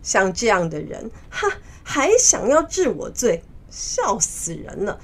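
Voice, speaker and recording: A woman voicing a proud, hot-tempered male monkey king in a children's story, loud, scornful and boastful. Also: on the high side, lively intonation, normal speed, natural voice.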